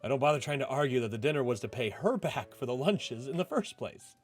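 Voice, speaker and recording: A man's voice: mid-range voice, animated, fairly quick. A man reading a visual novel aloud as first-person story narration. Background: music.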